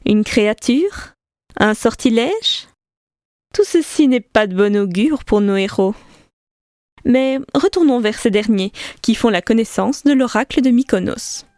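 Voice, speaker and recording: A woman's voice: on the high side, animated, a little slow, darkish tone, no echo. A woman playing the narrator of a fantasy audio saga, reading scripted narration dramatically. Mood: dramatic, suspenseful. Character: dramatic female storyteller narrator. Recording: dry, faint music behind.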